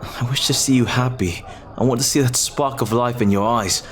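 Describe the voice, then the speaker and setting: A man playing an earnest, lovestruck pirate captain in an ASMR roleplay, speaking haltingly and tenderly in formal, old-fashioned phrases. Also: medium pitch, natural voice. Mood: tender, pleading. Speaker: audio roleplay performer.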